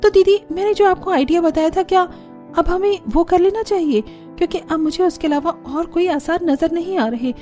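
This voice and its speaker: A woman voicing a fed-up, scheming young daughter-in-law in a cartoon story, in a whiny, animated way. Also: very high-pitched, normal speed, faint music behind, voice pushed up. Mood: fed up, pleading. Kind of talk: Hindi cartoon story character voice.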